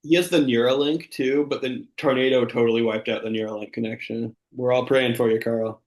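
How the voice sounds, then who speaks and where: In a roomy, echoey recording, a man with a mid-range voice hosts a comedy livestream. His banter is casual, delivered in a deadpan, mock-earnest manner. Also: mid-range voice, a little fast. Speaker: co-host of a live comedy show. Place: online video call.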